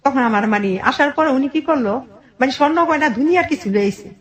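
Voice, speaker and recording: Higher-voiced Bangladeshi woman telling her story. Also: brisk, low-quality recording. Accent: colloquial Bangladeshi. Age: 40s. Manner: animated retelling with quoted family dialogue. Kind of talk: informal personal storytelling.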